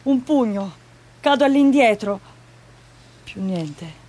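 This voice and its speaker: A woman's voice: fairly high pitch, lively intonation, takes it slowly, dark tone. A woman playing a battered victim recounting a beating in short fragments, in a theatrical radio monologue. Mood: stunned, anguished.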